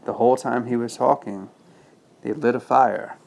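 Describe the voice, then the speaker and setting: A man's voice: medium pitch, fairly even intonation, moderate pace, gravelly, darkish tone. A man reading a bedtime story as a father to his child, patiently explaining in simple words with check-in questions.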